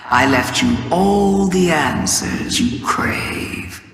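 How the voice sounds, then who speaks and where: Slow, echoing, taunting alien witch queen. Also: deep voice, lively intonation, exaggerated voice. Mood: grave, grandiose. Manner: theatrical, slow, savoring each word. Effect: cavernous reverb. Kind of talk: dramatic video game monologue. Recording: long reverberant hall echo.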